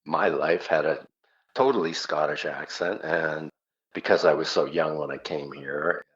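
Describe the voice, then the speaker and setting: A man's voice: extremely low pitch, steady tone, measured pace, a bit bright, lo-fi sound. An older man with a Canadian accent, a singer casually reminiscing as an interview guest.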